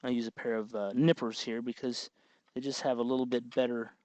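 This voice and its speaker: A man's voice: medium pitch, level tone, fairly quick, darkish tone, no room sound. Man talking through a hands-on tutorial, dry sound. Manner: hesitant, meandering, thinking aloud while working. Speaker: host of a DIY electronics tutorial. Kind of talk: informal hands-on tutorial commentary.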